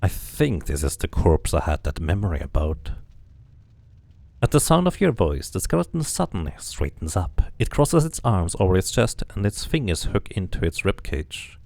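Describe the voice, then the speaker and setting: A man's voice: low-pitched, sing-song, normal speed, gravelly, darkish tone, no echo. A man doing a casual game playthrough, musing to himself and to viewers and reading narration aloud.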